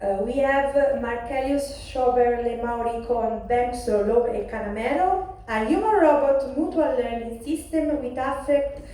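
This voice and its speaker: A woman with a strong Italian accent, announcing paper award finalists and winners at a conference, halting over names and apologizing for her pronunciation. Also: a little high, warm tone. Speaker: award presenter. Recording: echoey hall, distant mic.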